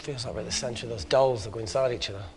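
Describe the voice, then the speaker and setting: A man's voice: medium pitch, racing, lightly acted. A man playing a flippant, sardonic doctor in a screen drama, blunt and offhand. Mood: wry, casual. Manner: wry, offhand. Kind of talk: naturalistic screen drama acting.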